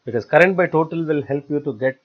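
A man giving a step-by-step software tutorial in a halting, repetitive way. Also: fairly high pitch. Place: voice-over for screen recording. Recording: dull, lo-fi screencast audio.

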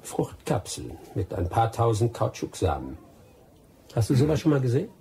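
An actor playing a wily, vengeful expatriate adventurer in a German radio drama, with a sardonic, persuasive way of talking. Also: male voice, medium pitch, sing-song, normal speed, mellow, slight background noise. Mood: sly, persuasive.

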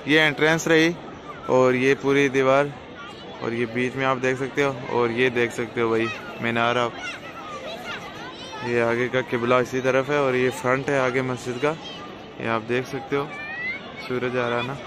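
A man's voice: mid-range voice, flat delivery, measured pace, pauses often, crowd noise. A young man from Jammu and Kashmir, narrating a casual travel vlog into a phone, pointing things out to his viewers. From Jammu and Kashmir, India. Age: young adult. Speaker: travel vlogger. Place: outdoors on busy streets, in vehicles and at monuments. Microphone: phone at arm's length. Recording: noisy city street with traffic.

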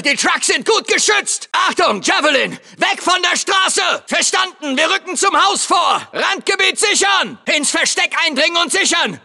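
An actor playing a tough, commanding special forces soldier in a German video game dub, barking clipped military orders with urgent authority. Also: male voice, extremely high pitch, bright, forceful tone, heavily acted. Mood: urgent, forceful. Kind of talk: German game dub, barked combat callouts.

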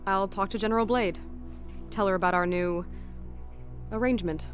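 Young woman soldier played by an actress for a fighting game: medium pitch, blunt, informal, direct. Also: normal speed, faint music behind, close to an everyday voice. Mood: businesslike. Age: young adult. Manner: casual, blunt. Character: frank young female soldier, a sergeant. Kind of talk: video game cutscene acting.

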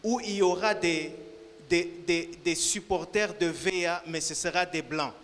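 A man's voice: high voice, a little slow, a bit bright, a little hiss or hum. A man preaching on a church stage into a handheld mic, declaring prayers with impassioned repetition. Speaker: pastor. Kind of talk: fervent sermon and prayer. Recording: church service with music behind.

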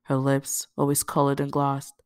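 A woman's voice: extremely low pitch, monotone, normal speed, darkish tone, clean tone, no room sound, clean studio sound. A woman reading a first-person novel aloud as scripted narration. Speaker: audiobook reader.

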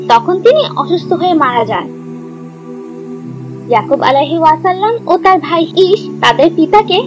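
Very high-voiced woman telling children's stories. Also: low-quality recording. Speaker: storyteller. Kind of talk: scripted children's story narration. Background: background music.

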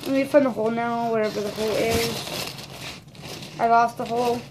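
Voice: mid-range voice, fairly even intonation, normal speed. A young vlogger chatting casually in a giggly, exclaiming, slangy way, recorded on a webcam mic in a small bedroom. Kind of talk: casual challenge vlog chat. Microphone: webcam or laptop mic at arm's length. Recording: noisy home recording with crinkling foil. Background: crinkling, crumpling foil balloon.

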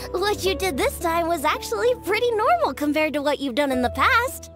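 A woman's voice: very high-pitched, big pitch swings, normal speed, music in the background. A woman voicing a small, exasperated young cat-eared daughter in a video game, scolding and matter-of-fact. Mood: resigned, teasing.

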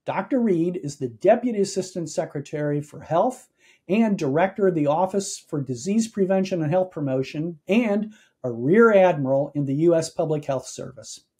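A man in his fifties, host of a virtual health meeting, reading scripted welcome remarks in a measured, formal manner. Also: fairly high pitch, expressive, dark tone, husky. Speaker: executive director and meeting host.

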